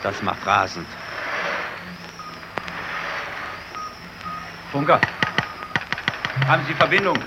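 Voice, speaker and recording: An actor playing a quick-tempered, sarcastic stranded Italian lieutenant in a German radio play, given to barking orders. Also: male voice, fairly high pitch, very animated, vocal fry, highs cut off. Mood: furious, commanding. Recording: noisy old radio drama recording.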